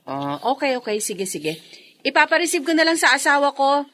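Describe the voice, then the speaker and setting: An actress playing an eager, chatty adult daughter in a Filipino radio drama, speaking emphatically and conversationally. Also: female voice, high voice, big pitch swings, brisk, sharp tone, a little hiss or hum, full character voice. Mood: curious, businesslike.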